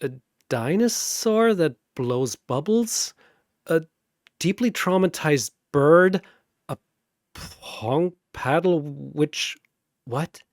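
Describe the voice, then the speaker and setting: A man voicing a wry, unimpressed young woman vampire slayer in a storybook battle tale, speaking casually and haltingly. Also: fairly high pitch, expressive, no room sound, clean studio sound, heavily acted. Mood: wry disbelief.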